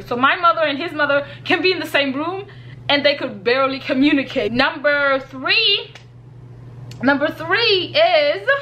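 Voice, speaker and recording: A woman's voice: sits high, bright, forceful tone, a little hiss or hum, rough recording. A young woman from Kenya giving earnest, casual advice on her vlog, repeating points and laughing lightly. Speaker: YouTuber.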